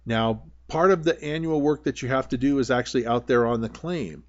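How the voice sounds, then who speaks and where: A man's voice: medium pitch, a little fast, dull audio. An American man giving an informal, plainspoken instructional talk over slides, explaining things with some hesitant phrasing. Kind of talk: informal slide-show lecture.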